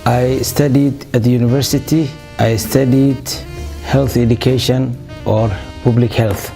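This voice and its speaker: Somali guy in his 50s, slow careful answers, lots of uh, mellow voice. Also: mid-range voice, fairly even intonation, gravelly, faint music behind. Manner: measured, with frequent uh fillers and restarts. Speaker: interview guest, business owner. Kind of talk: interview answers.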